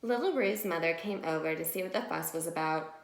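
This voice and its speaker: A woman's voice: on the low side, fairly quick, noisy background. A woman teacher reading a picture book to young children and explaining it simply and expressively. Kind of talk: children's read-aloud and mini lesson. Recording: echoey room.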